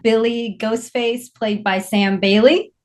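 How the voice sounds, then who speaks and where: A woman's voice: a little high, fairly even intonation, slow pace, a little mellow. A young woman informally hosting an online table read, introducing things as host. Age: young adult. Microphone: video call microphone.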